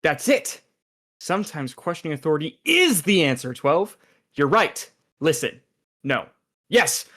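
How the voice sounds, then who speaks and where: A man playing an excitable, overthinking secret agent who explains things emphatically in a comedy radio play read. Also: on the high side, a little slow, heavily acted. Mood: earnest, insistent.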